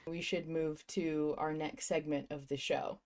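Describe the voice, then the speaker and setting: A woman's voice: low voice, little pitch movement, unhurried, darkish tone. A woman hosting a casual fan podcast, talking in an emphatic, slangy way with plenty of swearing. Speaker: podcast host.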